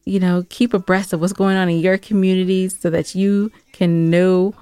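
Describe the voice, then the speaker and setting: A woman's voice: lowish voice, flat delivery, normal speed, mellow, clean tone, no echo. A woman co-hosting a podcast, chatting casually and giving announcements to listeners. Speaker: podcast co-host.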